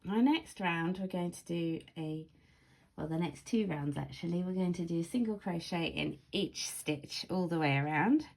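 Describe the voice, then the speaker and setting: A woman with a slightly croaky voice giving casual, step-by-step craft instruction as a tutorial host, speaking carefully and clearly into a built-in microphone. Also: on the low side, normal speed, darkish tone. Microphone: camera or phone's built-in microphone, no external mic.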